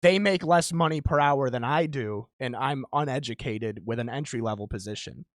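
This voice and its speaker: A man's voice: medium pitch, moderate pace, no room sound, clean studio sound. A man in his thirties hosting a podcast, talking in a candid, rambling, self-deprecating way. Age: 30s. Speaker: podcast host. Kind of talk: casual podcast chat.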